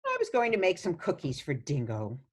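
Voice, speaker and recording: A woman's voice: deep voice, huge pitch swings, fairly quick, slightly rough, exaggerated voice. A woman playing a sweet, chatty, meddling elderly mother in a radio play, coaxing and cheerfully oblivious. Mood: breezily meddling. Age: older.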